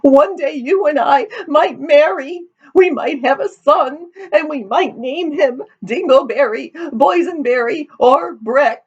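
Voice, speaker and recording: Storybook farm daughter: young, weepy, sentimental, very high plaintive sobbing voice. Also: female voice, slow, few pauses, darkish tone, dull audio. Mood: tearful, despairing.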